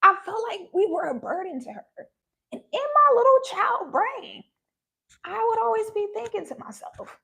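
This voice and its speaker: A woman's voice: very high voice, sing-song, moderate pace, vocal fry, pushed and bright. A young woman talking passionately and earnestly to her viewers on a live stream. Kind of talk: personal talk to viewers.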